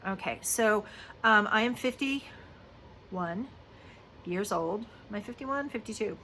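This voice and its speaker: A woman's voice: mid-range voice, lively intonation, slow, sharp tone, slight background noise. A woman in her fifties talking casually to the camera, chatty and confiding, with lots of fillers like "you know" and "um". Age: 50s. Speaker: lactation consultant. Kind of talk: casual personal vlog talk.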